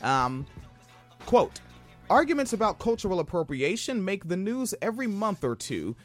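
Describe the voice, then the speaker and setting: A man from Washington State with a high-pitched voice, co-hosting a casual podcast. His voice is high and swoops widely as he riffs, swearing freely and laughing along. Faint music plays underneath. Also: moderate pace. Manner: profane, animated, laughs often. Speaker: podcast co-host.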